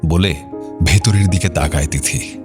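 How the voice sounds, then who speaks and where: A man's voice: low-pitched, a little slow, music in the background. A man narrating an audio story drama in a scripted, dramatic style.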